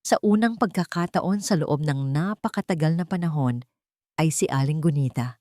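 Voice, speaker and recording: A woman reading a scripted dramatic story in measured, suspenseful phrases. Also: sits low, dark tone, no echo, studio quality.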